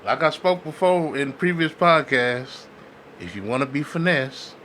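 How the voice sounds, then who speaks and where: Male co-host, high and sharp voice, insistent slangy talker, casual debate, slight background noise. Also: measured pace. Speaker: podcast co-host.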